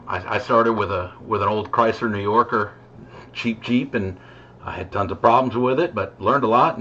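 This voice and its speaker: A middle-aged American man with a low voice talks casually over a CB radio. He rambles and hesitates as he goes. The signal is dull and muffled, with static noise around the words. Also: a bit bright. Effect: CB radio transmission. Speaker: amateur CB radio operator.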